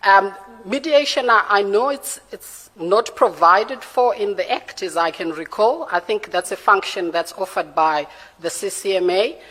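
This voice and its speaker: A Zimbabwean woman in her forties answering formal interview questions in a measured, careful and hesitant way, with a Zimbabwean accent. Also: medium pitch, fairly bright tone. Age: 40s. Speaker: candidate for judge being interviewed. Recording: reverberant hall sound.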